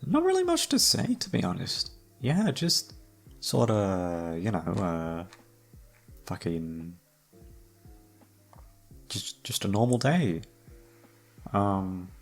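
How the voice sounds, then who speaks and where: A man with a low voice and plenty of vocal fry chats off the cuff to his listeners. He rambles hesitantly, with a self-deprecating, very casual manner. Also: big pitch swings, slow pace, pauses often, soft background music. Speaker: audio roleplay creator and host. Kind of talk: casual unscripted outro chat.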